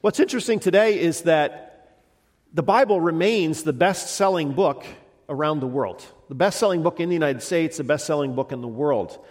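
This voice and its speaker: A man's voice: on the high side, normal speed, darkish tone. A middle-aged male pastor with a slight head cold, preaching a sermon in a conversational, meandering way with frequent restarts. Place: church sanctuary. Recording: long reverb of a church hall.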